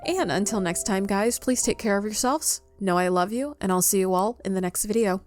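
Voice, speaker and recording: A woman's voice: medium pitch, brisk. Female gaming host, mid-range voice, casual and chatty with viewers, faint music underneath. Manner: chatty, affectionate toward viewers. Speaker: video game streamer, channel host. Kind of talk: casual gameplay commentary to viewers.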